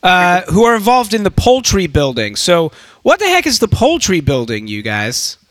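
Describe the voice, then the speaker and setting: A man hosting an interview in lively, unscripted chat, animated and jokey, quick to exclaim. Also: high voice, no room sound. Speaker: interviewer and show host.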